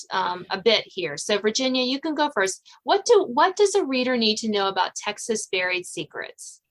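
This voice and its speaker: A woman's voice: fairly high pitch, fairly bright tone. A woman hosting a podcast in casual, chatty interview style. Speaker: podcast host.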